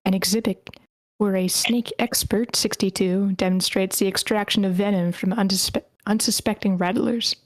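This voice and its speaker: A woman narrating stage directions at a screenplay table read in a measured way, with the odd stumble over a word. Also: medium pitch, steady tone, dry recording. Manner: measured, slightly stumbling reading. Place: online meeting.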